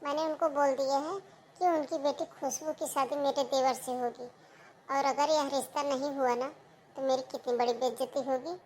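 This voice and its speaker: A woman's voice: sits high, fairly even intonation, normal speed, a little mellow, close to an everyday voice. A woman voicing a manipulative married sister-in-law who talks in a coaxing but blunt way. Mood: insistent. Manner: coaxing, then blunt and cold.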